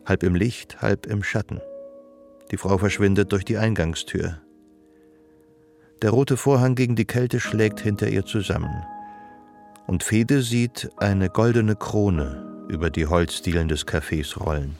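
A detached male narrator in a literary radio play. His low voice is gravelly with fry, and he describes things in measured phrases. Soft music sits underneath.

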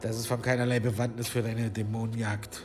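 An actor playing a guarded, prickly monk and healer in a German medieval audio drama, curt and defensive. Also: male voice, on the low side, little pitch movement, a little fast, lightly acted.

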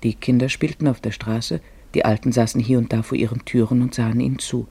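Weicher, dunkler Erzähler mit belegter Stimme. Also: male voice, mid-range voice, flat delivery, brisk, creaky, dark tone, husky, dry recording. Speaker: narrator. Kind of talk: literary scripted narration for a radio play.

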